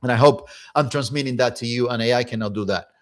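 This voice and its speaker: Cuban guy, spanish is his first language, pumped-up storytelling, lots of restarts, normal pitch. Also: fast talker. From Cuba. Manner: energetic, animated, repeats words and restarts. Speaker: motivational speaker. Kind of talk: personal storytelling to camera.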